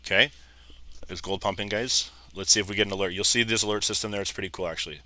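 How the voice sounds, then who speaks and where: A man in his thirties, a little hoarse, casually talking viewers through live trading charts and calling them "guys". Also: fairly low pitch, steady tone, fast, bright, forceful tone, no echo. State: slightly hoarse, losing his voice. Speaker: trading educator. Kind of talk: live trading screen commentary.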